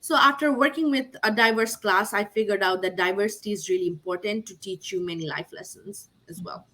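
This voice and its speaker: A woman's voice: medium pitch, brisk, fairly bright tone. A young woman from Nepal on a video call, answering panel questions earnestly with many fillers like "like" and "you know". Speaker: MBA graduate panelist.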